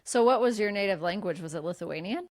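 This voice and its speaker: A woman's voice: medium pitch, lively intonation, a little fast, a little mellow, no echo, clean studio sound. A woman hosting a podcast, asking questions in a conversational interview style. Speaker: podcast host.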